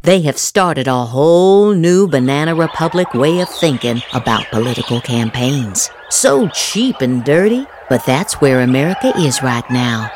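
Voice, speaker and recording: A woman's voice: very deep voice, lively intonation, measured pace, hardly any pauses, background noise. A woman hosting a podcast, reading political posts aloud dramatically with exaggerated, emphatic inflection. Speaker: podcast host.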